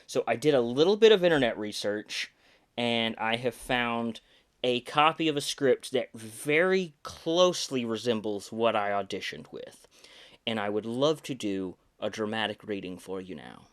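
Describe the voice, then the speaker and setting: A man's voice: mid-range voice, expressive, normal speed. A man in his thirties hosting a podcast, telling behind-the-scenes stories casually with many ums and restarts. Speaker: podcast host, puppeteer.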